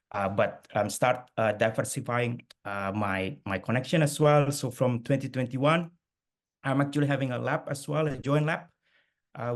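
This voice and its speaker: A middle-aged man from Indonesia giving a webinar talk over a video call, with many fillers and restarts. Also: lowish voice. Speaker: guest speaker. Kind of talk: webinar presentation.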